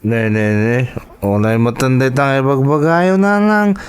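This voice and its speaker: A man's voice: medium pitch, normal speed, clean tone, close to an everyday voice. A man playing a tipsy, boastful grown son in love in a radio drama, with an Ilocano accent.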